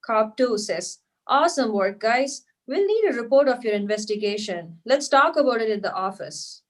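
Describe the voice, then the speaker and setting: A woman's voice: on the high side, expressive, normal speed. A woman narrating stage directions at a script table read.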